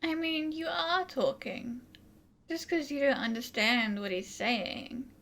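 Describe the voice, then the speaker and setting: A woman hosting a gaming video, giving casual, halting commentary as she reacts to the game. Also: sits high, a bit bright, a little hiss or hum.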